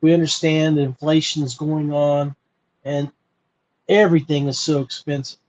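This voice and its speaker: An American man hosting a podcast, talking informally and earnestly with frequent pauses. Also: on the high side, steady tone, darkish tone, rough recording. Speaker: podcast host. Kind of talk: informal solo podcast talk.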